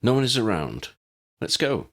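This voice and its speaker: A calm, practical young wizard leader in a fantasy audiobook, read by a man. The voice is husky and gravelly, with measured, matter-of-fact phrasing. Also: mid-range voice, pitched above the performer's own voice. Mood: watchful. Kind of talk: audiobook character dialogue.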